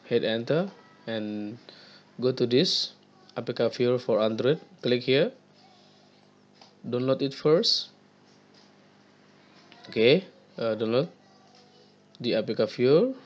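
A young man from Indonesia, not a native English speaker, talking through a computer tutorial on a headset mic in a halting way, with many fillers and repeated words. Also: medium pitch, lively intonation, lo-fi sound. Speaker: tutorial presenter. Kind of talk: screen-recorded gaming tutorial.

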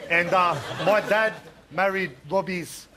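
A man's voice: high voice, slow, heavy background noise. An Australian man playing a cocky, hyped-up young suburban DJ with slangy, boastful bro-heavy talk. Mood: cocky, indignant. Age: young adult. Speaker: comedy performer on a sports show. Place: TV studio and filmed sketch locations. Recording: loud music and crowd behind voice.

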